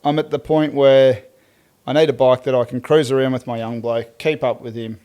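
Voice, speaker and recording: A man's voice: mid-range voice, steady tone, moderate pace, mellow, clean tone. An Australian man co-hosting a casual podcast chat, laid-back and teasing, laughing often. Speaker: podcast co-host.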